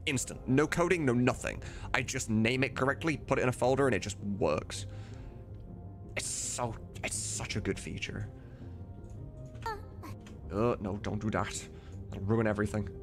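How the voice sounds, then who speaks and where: Low, gravelly man chatting on a livestream. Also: moderate pace, pauses often. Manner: informal, sweary, muttering to himself while working. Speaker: streamer and game modder. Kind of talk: casual livestream work chat. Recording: music playing behind. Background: music, computer keyboard typing.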